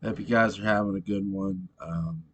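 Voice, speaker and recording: A man giving a theatrical, measured read-aloud of comic holiday verse, like a storyteller. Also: lowish voice, very animated, creaky, a bit bright, highs cut off, slight background noise. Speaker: host of a fan channel reading aloud.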